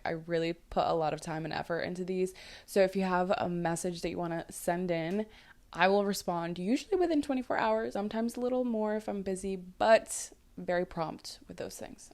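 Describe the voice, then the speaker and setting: A woman's voice: on the low side, a little mellow. A young woman hosting a casual dating podcast, chatty and loose with lots of likes and ums, her voice still sleepy from just waking up. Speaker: podcast host and dating coach.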